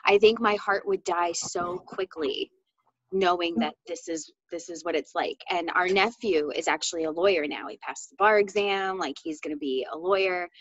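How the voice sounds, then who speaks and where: A woman's voice: lowish voice, normal speed, dry recording, lo-fi sound. A woman co-hosting a book discussion in a casual, candid, conversational way, often peppering her talk with "like". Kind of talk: casual chat.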